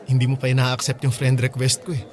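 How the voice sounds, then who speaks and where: A man's voice: mid-range voice, monotone, fast, soft background music, lightly acted. An actor playing an eager, polite young male fan in a Filipino radio drama. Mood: eager, friendly.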